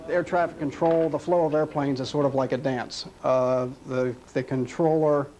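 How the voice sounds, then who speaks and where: A man's voice: fairly high pitch, steady tone, moderate pace, darkish tone, poor audio. An American man, an air traffic controller, explaining his work in an interview in a plain-spoken, matter-of-fact way.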